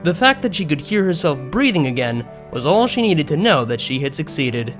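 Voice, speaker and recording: A man reading scripted fiction as an expressive narrator, audiobook style. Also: a little high, fairly quick. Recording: music behind the voice.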